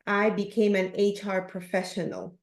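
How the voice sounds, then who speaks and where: A woman in her fifties from Peru with a Peruvian Spanish accent, speaking in a measured, earnest way as an interview guest. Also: medium pitch, flat delivery. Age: 50s. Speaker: podcast guest, leadership coach. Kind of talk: reflective interview talk. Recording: roomy, echoing sound.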